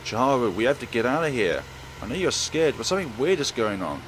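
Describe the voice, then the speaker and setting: A man voicing a frightened, pleading high-school student in a visual novel, urgent and desperate. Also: sing-song, a little fast, a bit bright, a little hiss or hum, low-quality recording. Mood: alarmed. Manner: urgent, emphatic, stammering under shock. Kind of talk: dramatic visual novel voice acting.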